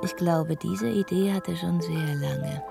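A woman's voice: fairly low pitch, a little slow, creaky, distant mic, loud music behind the voice. An actress in a German radio drama playing a composed, wry, knowing woman. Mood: wry, deliberate.

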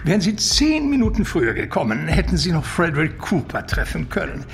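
A man's voice: on the high side, huge pitch swings, a little fast, gravelly, soft background music, full character voice. An actor playing an urbane, talkative and evasive male confidant in a radio drama, with mannered, eloquent phrasing. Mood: polite, guarded. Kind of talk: German radio drama acting.

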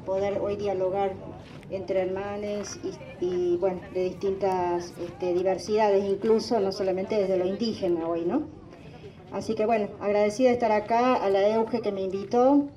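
A woman in her forties whose first language is Guarani, speaking as a guest at a gathering, halting, with many fillers and restarts. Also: mid-range voice, steady tone, warm tone, far from the mic, rough recording. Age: 40s. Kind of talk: recorded address at a gathering.

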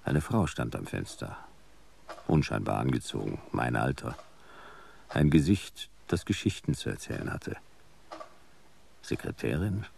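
Very deep, gravelly, laconic detective. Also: male voice, a little slow, pauses often, slight background noise, full character voice. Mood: wry, reflective. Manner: dry, clipped, deadpan. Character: laconic, world-weary male private detective narrating his case. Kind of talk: noir radio play narration and dialogue.

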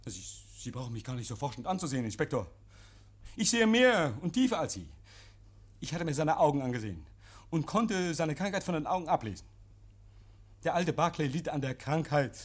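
An actor in a 1950s radio play playing a nervous, paranoid man hiding guilt, agitated and stammering. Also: a little high. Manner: agitated, stammering, insistent, hears imaginary noises. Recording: vintage 1950s radio recording.

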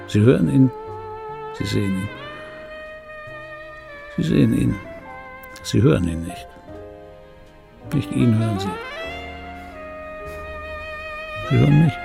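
An actor playing an embittered, wistful dubbing actor in his fifties, delivering a dry, brooding radio play monologue in a husky voice. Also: medium pitch, expressive, measured pace, pauses often, music in the background. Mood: brooding, insistent. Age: 50s. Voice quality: husky, gravelly.

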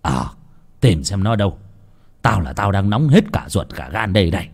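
A man's voice: low-pitched, big pitch swings, vocal fry, darkish tone, breathy edge, full character voice. Man voicing an ailing, crotchety old village patriarch. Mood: agitated, impatient. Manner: drawn-out, groaning, scolding delivery.

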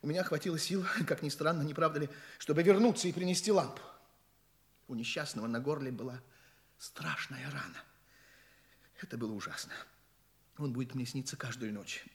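A man's voice: a little high, brisk, pauses often, close to an everyday voice. An actor playing a flustered, talkative male journalist in a radio play, rambling and stammering with fussy politeness as a shaken witness. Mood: shaken, mournful. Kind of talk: Russian radio play acting.

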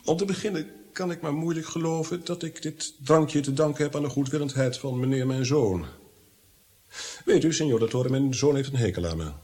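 An actor playing a brooding, guilt-haunted nobleman in a Dutch radio drama, theatrical, moving between hushed awe and agitation. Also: mid-range voice, brisk, breathy edge, lightly acted. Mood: bitter, melancholy.

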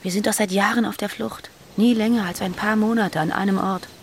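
Actress as a troubled woman on the run, creaky mid-pitched voice, tired, radio drama. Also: fairly even intonation, fairly quick, slight background noise, close to an everyday voice. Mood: weary, reproachful. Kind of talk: German radio drama acting.

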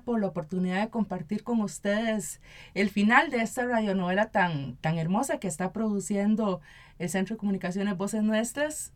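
A Costa Rican woman speaking as an interview guest in an earnest, deliberate, formal explanatory way. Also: mid-range voice. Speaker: interview guest, activist and municipal representative. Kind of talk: radio interview, explanatory talk.

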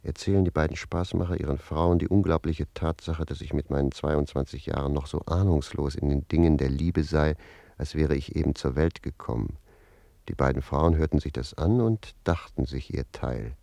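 A wry manservant telling stories in a radio drama. His voice is extremely low and gravelly, recorded very dry. He sounds unhurried, sly and falsely innocent. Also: dark tone, no room sound. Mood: sly narrating. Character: sly, easygoing servant Jakob, spinning bawdy tales. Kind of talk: radio play storytelling.

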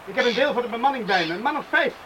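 A high, bright male voice with faint noise behind it: an earnest young villager in a radio play. He is practical and straightforward. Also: animated, rapid-fire, heavily acted, low-quality recording. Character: earnest young village man organising a rescue. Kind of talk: Dutch radio drama acting.

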